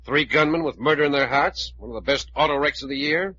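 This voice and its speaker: Quick, curt American adventure leader. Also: male voice, a little high, sharp tone, slightly rough. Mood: dismissive. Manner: curt, commanding, dismissive. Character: brisk, fearless, sceptical man, leader of adventurers. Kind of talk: old-time American radio serial acting. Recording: dull, noisy old radio recording.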